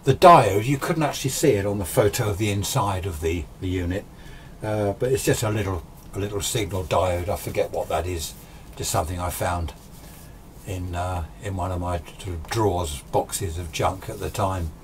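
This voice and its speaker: A man's voice: low-pitched, moderate pace. A British man in his sixties giving an informal technical explanation in a casual, rambling, self-deprecating way, as a hobbyist presenter. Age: 60s. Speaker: amateur radio hobbyist presenter. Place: small indoor home radio workshop. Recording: steady background noise.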